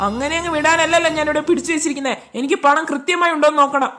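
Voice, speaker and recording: Woman acting a high, sharp-voiced menacing male kidnapper. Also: fairly even intonation, rapid-fire, faint music behind. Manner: curt, menacing commands. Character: threatening male kidnapper demanding ransom money. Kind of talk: amateur cartoon drama dialogue.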